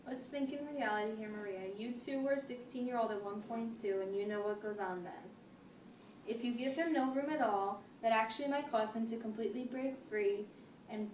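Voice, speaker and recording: A woman playing a patient, firm family therapist, speaking in a measured, formal way in an amateur role-play. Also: on the high side, darkish tone, natural voice. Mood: mediating, patient. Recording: noisy echoey room, distant mic.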